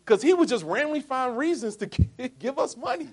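A man in his forties giving a motivational sales talk to a live audience. He is jokey and asks the crowd rhetorical questions, swinging between loud punchy lines and quiet asides. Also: extremely high pitch, animated, a little fast, slightly rough. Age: 40s. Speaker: speaker pitching a business opportunity.

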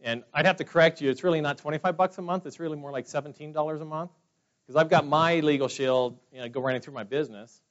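A man's voice: on the high side, fast talker. Middle-aged guy from california rambling through stories, lots of uh and you know, muffled lo-fi audio. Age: 40s. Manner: rambling, chatty, many fillers and restarts. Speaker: speaker at a business meeting. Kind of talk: casual personal testimony to a room. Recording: dull lo-fi sound.

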